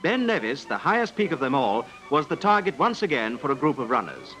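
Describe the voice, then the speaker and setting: A man's voice: mid-range voice, sing-song, brisk, fairly bright tone. A man narrates a scripted 1950s newsreel in a formal, dramatic manner. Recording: vintage 1950s newsreel soundtrack, music.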